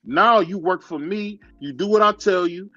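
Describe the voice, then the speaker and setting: A man's voice: high voice, moderate pace, sharp tone, dry recording, muffled recording, faint music behind. A man hosting a heated live-stream boxing debate, constantly cutting in with repeated interruptions. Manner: keeps cutting in with repeated "hold on". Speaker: show host.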